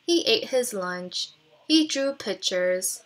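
A woman reading a children's picture book aloud expressively, pausing to explain things to young listeners. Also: mid-range voice, animated.

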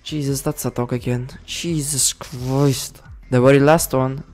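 A man giving casual commentary over a management game, excitable, swearing and yelling orders at his units. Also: medium pitch, unhurried, faint music behind. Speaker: gaming channel host.

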